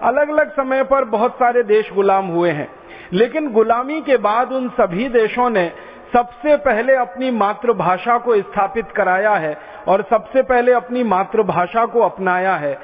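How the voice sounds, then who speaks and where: A man's voice: extremely high pitch, measured pace. A man from India giving an impassioned public lecture, driving home each point with repeated parallel phrases. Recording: tinny phone-like sound in a reverberant hall.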